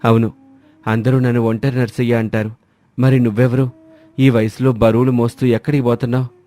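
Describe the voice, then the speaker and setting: A man playing a gentle lonely villager. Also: medium pitch, little pitch movement, brisk, natural voice. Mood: reassuring. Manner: gentle, reassuring. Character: lonely middle-aged village man, kind, stubborn.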